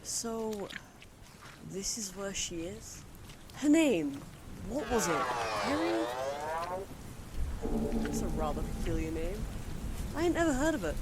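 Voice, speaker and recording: An actress playing a feisty, suspicious but loyal young companion in an audio drama, speaking bluntly and colloquially. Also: female voice, medium pitch, sing-song, slow, pauses often, noisy background. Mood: curious.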